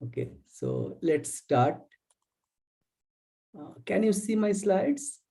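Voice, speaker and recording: Mellow Indian-accented man giving an academic lecture. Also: high voice, lively intonation, vocal fry. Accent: Indian English. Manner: deliberate, halting, careful phrasing. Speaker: visiting professor, guest lecturer. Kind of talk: academic webinar presentation with slides.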